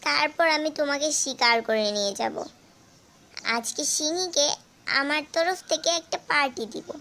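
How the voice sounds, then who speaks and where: A very high-pitched cartoon mother goat, played by a woman, sly and protective. She talks in a coaxing, glib way, sweet-talking like a born persuader. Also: lively intonation, moderate pace, creaky, bright, forceful tone, heavily acted. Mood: sly flattery. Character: clever mother goat, sly flatterer protecting her kid. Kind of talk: animated fable character acting.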